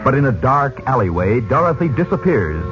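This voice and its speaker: A man's voice: medium pitch, big pitch swings, normal speed, close to an everyday voice. A man narrating as a formal announcer, setting the scene in scripted 1940s radio serial style. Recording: muffled old radio sound, music behind.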